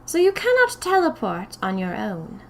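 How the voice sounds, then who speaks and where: A woman's voice: on the high side, wildly swooping pitch, normal speed, fairly bright tone, a little hiss or hum, heavily acted. A woman voicing a small, stern, schoolmistress-like leader of a sinister magical society in audio fiction, speaking in a commanding, formal, ceremonious way. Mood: cold, commanding.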